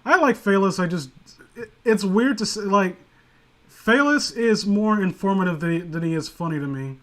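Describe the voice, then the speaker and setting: A man with a somewhat high voice talks casually as host of an online group call. He rambles through his opinions in an informal, profane way. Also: moderate pace, a bit bright. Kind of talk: casual chat, opinionated commentary.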